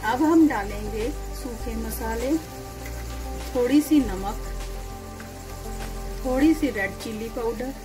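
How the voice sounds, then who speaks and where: Slow, instructive woman over music. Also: fairly high pitch. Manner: slow, instructive, in short phrases. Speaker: cooking channel host. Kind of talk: step-by-step recipe voice-over.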